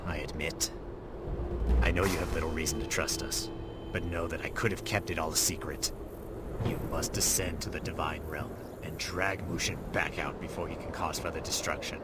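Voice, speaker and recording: An actor playing an ancient, solemn sage and divine being in a video game, speaking formally and gravely with a note of remorse. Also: male voice, rumbling low, very noisy. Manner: formal, grave, measured storytelling. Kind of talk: scripted video game dialogue. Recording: loud game music behind the voice. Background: loud music.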